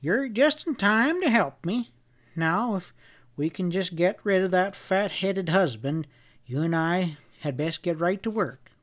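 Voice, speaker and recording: A man voicing a cynical old frontier doctor in a western storybook, brisk, wry and cheerful. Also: sits high, animated, pitched above the performer's own voice.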